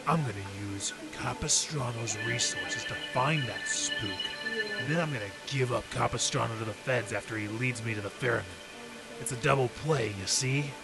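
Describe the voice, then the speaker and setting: A man's voice: mid-range voice, normal speed, loud music behind the voice, lightly acted, low-quality recording. An actor playing a scheming, hard-boiled undercover detective with slangy, emphatic tough-guy delivery in an old-time radio drama. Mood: scheming, intense.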